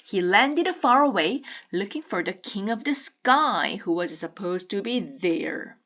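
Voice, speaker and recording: A woman reading a children's picture book aloud with deliberate, teacherly enunciation. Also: medium pitch, lively intonation, fairly bright tone, dull audio. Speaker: storybook reader.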